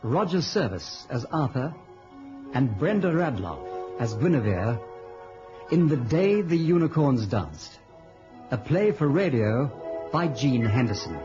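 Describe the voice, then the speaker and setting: A male announcer introduces a radio drama with very animated intonation. His voice is medium in pitch. Loud music plays behind him on a dull recording. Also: slow, long pauses. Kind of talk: scripted play introduction.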